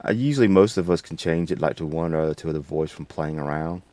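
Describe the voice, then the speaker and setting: A man hosting a casual, unscripted software tutorial in a rambling, folksy way. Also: a bass voice, fast, no echo. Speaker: tutorial host.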